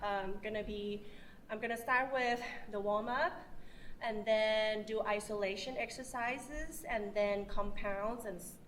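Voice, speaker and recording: A woman from Thailand with a Thai accent, a fitness athlete explaining casually in halting, hesitant phrases. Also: mid-range voice, steady tone, a bit bright, slight background noise, rough recording. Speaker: fitness athlete being interviewed.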